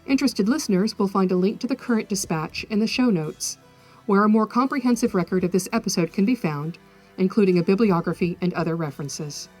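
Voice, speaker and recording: A woman hosting an educational podcast, reading scripted narration in a measured, articulate, explanatory manner. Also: medium pitch, a little mellow, faint music behind. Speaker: podcast host, folklorist.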